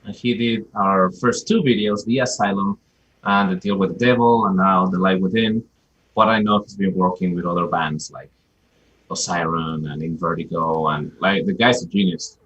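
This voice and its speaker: Husky low-voiced Mexican man. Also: steady tone, normal speed, a bit bright, lo-fi sound. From Mexico City, Mexico. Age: 30s. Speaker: metal band musician, interview guest. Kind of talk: casual chat.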